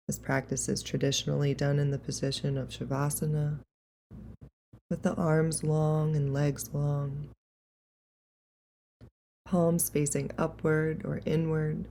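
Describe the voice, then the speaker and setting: A woman leading a guided meditation in a calm, gentle, unhurried and soothing way. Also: sits low, vocal fry, a little hiss or hum.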